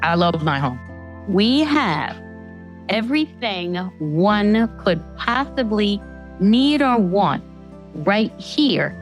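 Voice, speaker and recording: Slow, measured woman in her fifties. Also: mid-range voice, very animated, faint music behind. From Staten Island, New York. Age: 50s. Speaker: local resident interviewee. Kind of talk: documentary interview.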